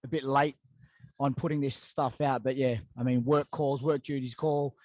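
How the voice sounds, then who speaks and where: A man's voice: mid-range voice, moderate pace, no echo. Laid-back man hosting a podcast. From Australia. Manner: relaxed, rambling, lots of fillers. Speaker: podcast host. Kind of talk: casual interview chat. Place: living in Dubai.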